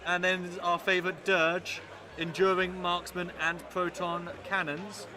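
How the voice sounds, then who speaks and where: A man's voice: high voice, little pitch movement, unhurried. A British man co-commentating a live miniatures tournament with a dry, teasing humour. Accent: British English. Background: crowd chatter of a tournament hall.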